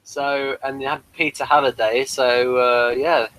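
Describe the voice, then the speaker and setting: A man's voice: medium pitch, level tone, a little slow, fairly bright tone, no echo, rough recording. A man talking casually as co-host of a review, in a hesitant, rambling way. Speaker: co-host of a sci-fi TV episode review. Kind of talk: casual chat reviewing slides.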